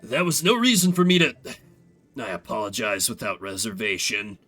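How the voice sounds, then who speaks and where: A man's voice: fairly low pitch, lively intonation, normal speed, bright, forceful tone, faint music behind, pitched below the performer's own voice. A man voicing a proud, honorable male military commander in a video game, formal and solemn.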